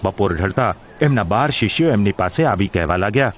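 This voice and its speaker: A man's voice: lowish voice, expressive, brisk, a little hiss or hum, lightly acted. A man narrating a gospel audio drama in a formal, solemn storytelling style.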